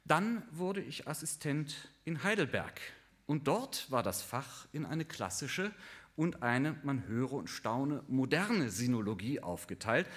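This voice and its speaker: An older man lectures at a moderate, middle pitch, measured and dryly ironic. He now and then stumbles on filler sounds before picking up his point again. His tone stays formal and academic. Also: animated, a bit bright. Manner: halting with fillers at times. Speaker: professor of Chinese studies.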